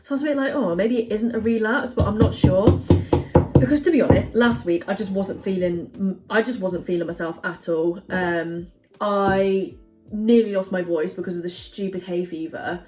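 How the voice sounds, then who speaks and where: A woman's voice: medium pitch, moderate pace, music in the background. A young woman vlogging in her home kitchen, chatting casually and rambling with lots of fillers. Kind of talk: casual chat to camera.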